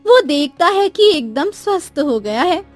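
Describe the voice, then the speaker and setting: A woman's voice: extremely high pitch, big pitch swings, a little slow, faint music behind. A woman as narrator, reading a scripted moral story for a cartoon.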